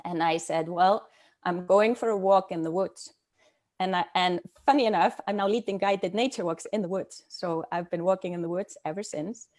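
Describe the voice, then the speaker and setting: A middle-aged woman from Iceland speaking as a guest on a video call, thoughtful and hesitant, with many pauses and fillers. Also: fairly low pitch, darkish tone. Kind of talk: casual personal talk.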